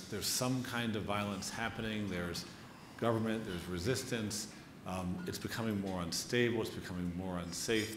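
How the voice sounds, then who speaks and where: A man with a fairly low voice interviews a writer onstage. His phrasing is deliberate and measured. He is recorded in an echoey room with clear background noise. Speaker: interviewer, moderator. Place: live onstage event before an audience.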